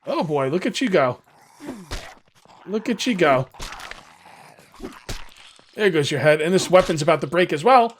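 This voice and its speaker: A man with a hoarse, fading voice, casually commenting on his survival game as he plays and rambling to his viewers. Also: fairly high pitch, animated, unhurried, pauses often, slight background noise. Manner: rambling, self-correcting, talks to viewers. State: losing his voice. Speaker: gaming video host. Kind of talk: casual let's-play commentary.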